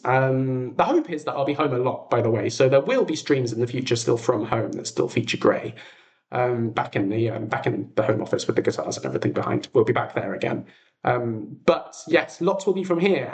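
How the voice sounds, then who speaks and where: A man chatting casually on a livestream as its host, rambling and self-deprecating, in an echoey untreated room. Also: a little high. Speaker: livestream host and audio drama creator. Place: echoey room at home, makeshift streaming setup.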